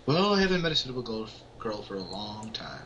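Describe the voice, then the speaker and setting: A man with a fairly low voice reads visual novel text aloud for an amateur let's-play. He reacts casually as he goes. The home recording carries clear background noise. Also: very animated, fast, lo-fi sound.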